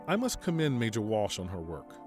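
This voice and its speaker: A man playing a stern, principled army general in an audio drama, speaking formally and deliberately. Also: on the low side, wildly swooping pitch, over music. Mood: formal approval. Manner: formal, measured, deliberate speech. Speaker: military general giving a radio interview.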